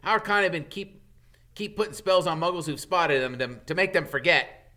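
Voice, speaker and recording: Man voicing an excitable high-pitched know-it-all schoolboy. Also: normal speed. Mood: insistent. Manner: excitable, insistent.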